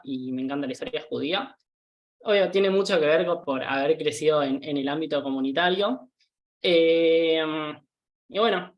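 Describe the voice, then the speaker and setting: A man's voice: on the high side, muffled recording. Young Argentine man, hesitant and casual. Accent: Rioplatense Spanish. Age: 20s. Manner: hesitant, many fillers and restarts. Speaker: guest, tour guide. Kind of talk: casual interview chat.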